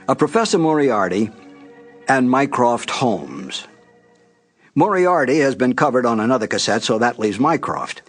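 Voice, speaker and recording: A man, reading scripted narration as the host introducing classic mystery broadcasts. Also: mid-range voice.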